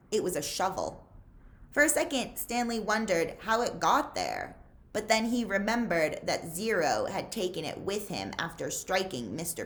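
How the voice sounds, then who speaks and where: Female storyteller, slow and deliberate pace, highly varied pitch, expressive read-aloud for children. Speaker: librarian reading aloud to children. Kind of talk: expressive read-aloud storytelling, pausing to ask listeners questions.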